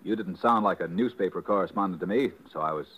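Low-voiced nervous suspect who stammers, for a 1950s radio drama. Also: male voice, quick pace, a bit bright, breathy edge. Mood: defensive.

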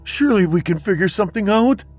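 A man voicing Jordan, a grieving young husband pleading in a high, strained voice. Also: expressive, quick pace, a little mellow, breathy edge, faint music behind. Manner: pleading, pitch rising.

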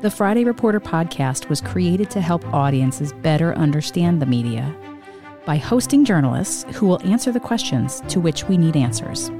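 A woman reading a scripted promo for her podcast in a calm, earnest tone. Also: low voice, moderate pace. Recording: music bed underneath.

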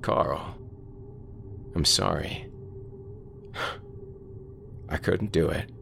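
A man's voice: sits low, extremely slow, gravelly, noisy background, heavily acted. A man doing a hunted, whispering man. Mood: remorseful. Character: panicked hunted man, hushed and desperate.

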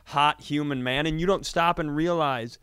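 A man hosting a comedy podcast, telling casual, joking, profanity-laced stories in an animated way. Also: a little high, moderate pace, clean tone, no room sound, clean studio sound.

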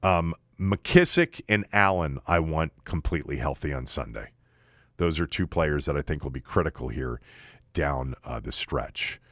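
A man hosting a sports podcast, giving news and analysis in a halting, self-correcting manner. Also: very deep voice, fairly bright tone, no room sound, clean studio sound.

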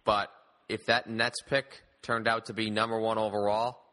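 A man with a fairly low but bright, forceful voice hosts a sports talk show. He debates in a conversational, opinionated way. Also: level tone, normal speed. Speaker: sports podcast host.

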